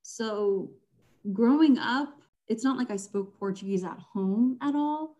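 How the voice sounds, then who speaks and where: A young woman talks casually as an interview guest, often laughing lightly between thoughts. Her voice is mellow and relaxed, and she fills her sentences with "um" and "like". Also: on the high side, a little slow. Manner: chatty, laughs often, many fillers.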